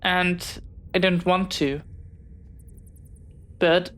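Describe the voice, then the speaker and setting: A woman acting in a scripted audio roleplay as a bookish, dreamy young pirate, jumpy but earnest, speaking haltingly and dramatically straight to the listener. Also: on the low side, fairly even intonation. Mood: relieved, sheepish. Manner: dramatic, halting, swinging from theatrical threats to sheepish chatter.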